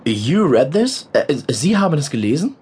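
An actor playing a polite, talkative war correspondent, hesitating, apologizing and slipping into English words in an audio drama. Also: male voice, a little high, big pitch swings, moderate pace, a little mellow, husky, heavily acted. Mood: chatty, eager.

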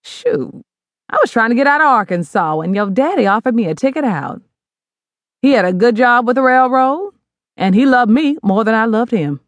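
A woman's voice: on the high side, sing-song, normal speed, no room sound, pitched above the performer's own voice. Brief: a woman playing a no-nonsense older mom, brassy and plain-spoken, scolding, dry close sound. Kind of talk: audiobook character voice.

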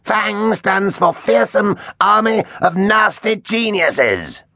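A man voicing a mean little evil dinosaur inventor, hissing and raspy, sneering with drawn-out menace in a children's storybook. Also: high voice, expressive, sharp tone, no echo, studio quality, pitched above the performer's own voice, heavily acted. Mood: sinister scorn.

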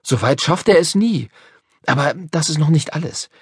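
A man's voice: on the high side, expressive, moderate pace, husky, dry recording, clean studio sound, pitched above the performer's own voice. A man voicing an unhappy young country boy in a storybook reading, talking earnestly and near tears. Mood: secretive. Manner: earnest, upset and tearful. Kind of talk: storybook character voice.

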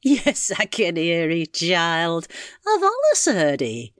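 A woman's voice: fairly low pitch, wildly swooping pitch, slow, no room sound, studio quality, full character voice. A woman voicing an old, sly, supposedly deaf village woman with a quavering rural English dialect in a story reading. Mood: warm, secretly amused. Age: older. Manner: quavering, rustic.

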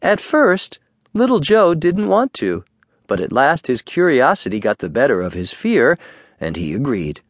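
A man reads a children's bedtime story with very lively, swooping intonation. His storytelling is expressive and deliberate, recorded dry and close. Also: medium pitch, no echo. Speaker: audiobook narrator.

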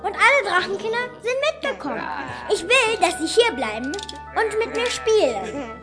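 An actress playing a spoiled, stubborn little princess in a children's audiobook drama, demanding and defiant. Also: female voice, extremely high pitch, sing-song, moderate pace, over loud music.

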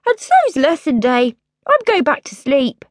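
A woman's voice: sits high, wildly swooping pitch, unhurried, no echo, studio quality, pitched above the performer's own voice, heavily acted. A woman voicing a cheeky, rude little girl princess in a storybook reading, bratty and defiant. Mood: grumpy.

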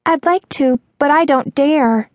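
A woman doing a high, timid, frightened young waitress. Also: moderate pace, no echo, lightly acted. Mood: fearful, hesitant. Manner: hesitant, breathless stammering, pleading.

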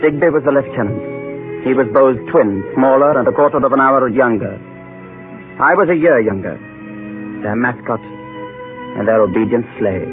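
A man's voice: mid-range voice, over music, lightly acted. A man playing a grave Englishman who solemnly recounts his childhood as narrator of a 1930s radio drama. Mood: reminiscent. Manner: measured, solemn storytelling. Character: grave adult Englishman, sole surviving brother, recalling his childhood. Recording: tinny 1930s radio broadcast.

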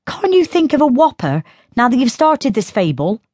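A woman voicing a teasing high-voiced young sister. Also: big pitch swings, darkish tone, dry recording, studio quality. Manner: mocking, quick retorts.

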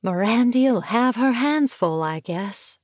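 A woman voicing a brisk, strict country mother in a storybook, plain-spoken, admonishing and sardonic. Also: fairly high pitch, animated, a little mellow, no echo, studio quality, voice pushed up.

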